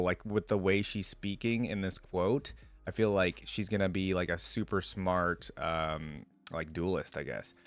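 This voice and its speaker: A man's voice: on the low side, dry recording. A man hosting a reaction video, talking informally and reading lore aloud, with a slangy, thinking-aloud manner. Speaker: YouTube reaction host.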